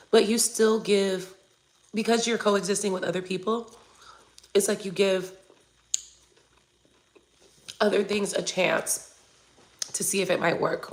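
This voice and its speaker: A woman in her forties talks to her live-stream viewers in a loose, chatty way, cursing now and then. Her voice has a gravelly fry at the bottom. She is under the weather and keeps clearing her throat. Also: lowish voice. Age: 40s. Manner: chatty and candid, swears casually. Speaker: tarot reader hosting a live stream. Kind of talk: casual chat to viewers, reading comments.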